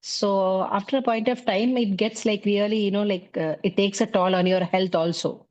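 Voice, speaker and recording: A middle-aged woman from South India with an Indian English accent, talking as an interview guest about her teaching work in a relaxed way, leaning on fillers like "you know, like". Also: medium pitch, moderate pace, low-quality recording. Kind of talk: conversational interview.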